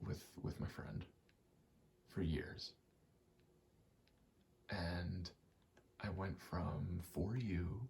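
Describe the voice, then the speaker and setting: A soft-spoken man giving rambling ASMR game commentary, halting and casually profane, often slipping into a whisper. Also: low voice, creaky, slight background noise. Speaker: gaming video creator.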